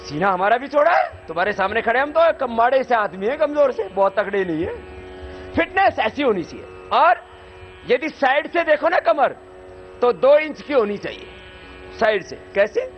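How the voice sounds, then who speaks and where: Brief: high-voiced male yoga teacher, animated and joking, dull lo-fi outdoor recording with faint music. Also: normal speed, a little hiss or hum. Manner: lively, demonstrative, folksy humor. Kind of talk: live yoga instruction to soldiers. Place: outdoor army yoga camp.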